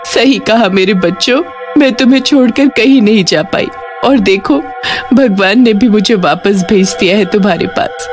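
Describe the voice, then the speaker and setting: A woman's voice: medium pitch, moderate pace, soft background music, close to an everyday voice. A woman voicing a caring village mother who is emotive and earnest, in a cartoon ghost story. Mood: tender, earnest.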